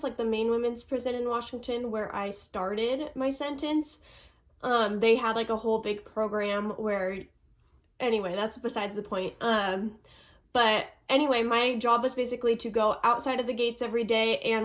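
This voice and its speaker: A young woman vlogger with a stuffy nose, answering viewer questions in a chatty way, full of ums and likes. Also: fairly high pitch. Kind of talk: casual Q&A vlog.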